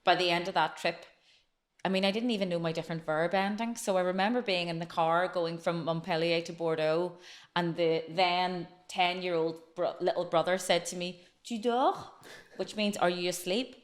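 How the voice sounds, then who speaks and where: A woman's voice: lowish voice, steady tone, moderate pace. A woman in her late forties, a podcast guest telling her life story in a chatty, flowing way. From Northern Ireland. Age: late 40s. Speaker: interview guest. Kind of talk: casual podcast interview.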